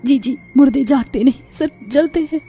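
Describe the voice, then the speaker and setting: A woman's voice: high voice, a little fast, dark tone, soft background music, voice pushed up. A woman voicing a poor young village daughter-in-law in a cartoon story, earnest and pleading in a dramatic, plaintive way. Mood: tearful grieving. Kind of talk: Hindi moral cartoon story acting.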